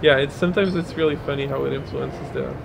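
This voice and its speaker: A man's voice: medium pitch, steady tone, fast talker, creaky. A man whose first language is French, talking animatedly in an interview and often repeating his agreement. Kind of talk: casual interview answer. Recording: noisy outdoor recording with traffic.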